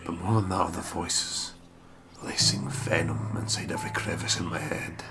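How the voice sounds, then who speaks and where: Very deep-voiced man reading horror, music behind. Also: little pitch movement, normal speed, gravelly. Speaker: let's play narrator. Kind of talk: dramatic read-aloud of horror visual novel narration. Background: game music.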